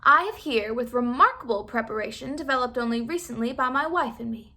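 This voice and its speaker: A firm little mouse dentist from a children's story, acted by a woman. He is cautious, polite and emphatic, with a high, bright voice. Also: animated, fairly quick, full character voice. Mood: stern.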